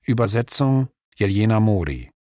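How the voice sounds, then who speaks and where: Guy announcing radio credits, low voice, measured and neutral, very dry recording. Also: very animated, mellow, no room sound.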